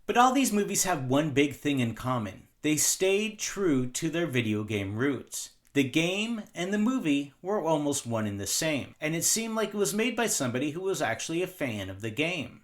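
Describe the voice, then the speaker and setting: Animated higher-pitched man, wry commentary. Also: moderate pace, few pauses. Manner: wry, sarcastic asides. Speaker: video essay host. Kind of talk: opinionated movie commentary, scripted narration.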